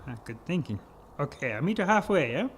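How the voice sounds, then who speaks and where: An actor playing a bossy, short-tempered German baron villain in a comic radio play, with a German accent and a theatrical, scolding delivery. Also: male voice, a little high, big pitch swings, brisk, husky. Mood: scheming, bossy.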